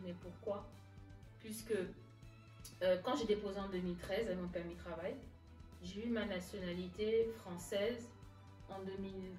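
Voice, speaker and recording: A woman's voice: mid-range voice, a little mellow. Female interview guest, casual personal storytelling with quoted exchanges, recorded in an echoey space with music underneath. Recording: echoey room, distant mic, music underneath.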